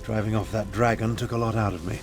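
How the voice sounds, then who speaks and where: An actor voicing a proud, haughty, weary elderly battlemage in a video game, with a pompous, sardonic, measured manner. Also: male voice, lowish voice, fairly even intonation, faint music behind, lightly acted. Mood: grave, brisk. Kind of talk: video game character dialogue.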